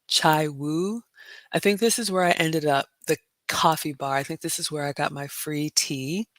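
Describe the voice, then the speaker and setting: A woman with a low, raspy, smoky voice, chatting casually on a livestream and reading out viewer comments. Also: a bit bright, no echo. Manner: chatty, says "y'all" and "you all" often. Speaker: livestream host.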